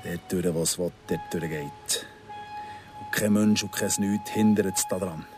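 A man's voice: deep voice, measured pace, over music. An actor playing an obsessive man musing darkly in fragments, in a Swiss German radio drama monologue. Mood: dark awe.

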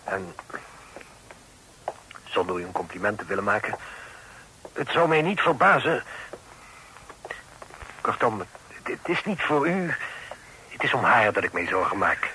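Worried serious dad in an old radio play, very formal and polite, bright voice, bit of hiss. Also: male voice, fairly low pitch, very animated, a little slow, long pauses, heavily acted, low-quality recording. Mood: grave, worried. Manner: formal, solemn, old-fashioned phrasing. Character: grave, courteous, worried father with secrets. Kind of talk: vintage Dutch radio drama acting.

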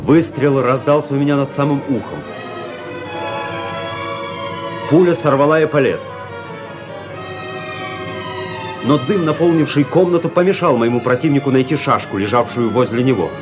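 A man playing a composed, daring army officer who narrates his own tale in a measured, grave, literary way for a radio play. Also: medium pitch, natural voice. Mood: dramatic, excited. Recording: music behind the voice.

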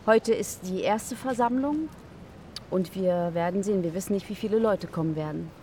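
An actress playing an earnest, somewhat hesitant citizens' activist in a naturalistic radio drama interview. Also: female voice, mid-range voice, normal speed, mellow, close to an everyday voice. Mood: earnest, hopeful. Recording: slightly distant, faint outdoor noise.

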